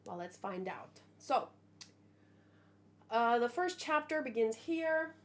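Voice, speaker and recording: A woman hosting a manga read-along, talking in a casual, expressive, thinking-aloud way. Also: fairly high pitch, slow. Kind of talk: casual reaction commentary with read-aloud dialogue.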